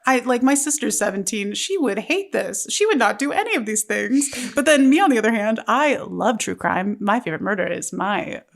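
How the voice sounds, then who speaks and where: An actress chatting casually in a panel discussion, halting and slangy as she talks. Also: fairly high pitch, animated, gravelly. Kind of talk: casual chat.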